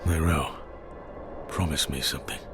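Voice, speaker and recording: A low-pitched male warrior sounds somber and restrained. He broods with grief in a fantasy game style, with loud music behind the voice. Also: steady tone, normal speed. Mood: somber, brooding. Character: somber, grieving male warrior bent on revenge. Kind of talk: dramatic fantasy game acting.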